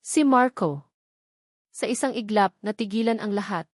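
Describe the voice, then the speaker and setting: A woman's voice: mid-range voice, normal speed, no room sound, studio quality. A woman narrator reading a scripted story in a dramatic storytelling style.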